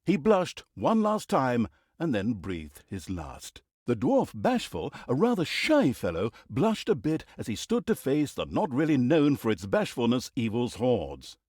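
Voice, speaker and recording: A man's voice: medium pitch, huge pitch swings, unhurried, darkish tone, no echo, clean studio sound. A male actor playing a wry, theatrical storybook narrator in a fantasy video game, with a sardonic, mock-grand delivery. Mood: wry, amused.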